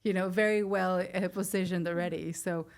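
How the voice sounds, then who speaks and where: A woman's voice: lowish voice, steady tone, normal speed. A woman talking casually on a podcast, often checking for agreement as she goes. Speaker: podcast speaker. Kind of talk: casual interview conversation.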